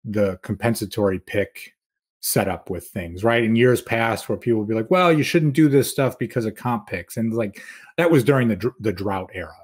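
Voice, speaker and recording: A man's voice: on the low side, breathy edge. A man giving casual sports analysis as a podcast guest, explaining his points conversationally.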